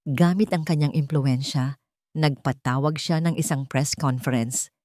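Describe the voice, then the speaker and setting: A woman's voice: deep voice, steady tone, moderate pace, darkish tone, no room sound, studio quality. A woman narrating a scripted story in a dramatic storytelling style.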